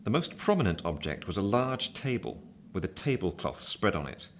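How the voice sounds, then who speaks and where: A man's voice: on the low side, sing-song, moderate pace, a little hiss or hum. A man acting a reflective narrator who recalls his boyhood in a scripted radio drama. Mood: measured storytelling.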